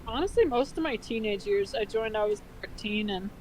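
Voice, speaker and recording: A woman's voice: medium pitch, expressive, fairly quick, darkish tone, slight background noise, low-quality recording. A woman in her twenties co-hosting in casual chat, blunt and sweary, with sarcastic exaggeration. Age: 20s. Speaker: video co-host.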